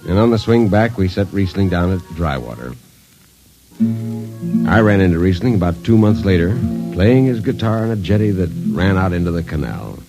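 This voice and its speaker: Low-voiced spaceman narrator. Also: lively intonation, normal speed, poor audio. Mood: sober storytelling. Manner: plain, storytelling, blunt. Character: world-weary spaceman narrator, loyal blunt shipmate. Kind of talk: mid-century radio drama narration and dialogue. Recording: vintage radio drama recording, music underneath.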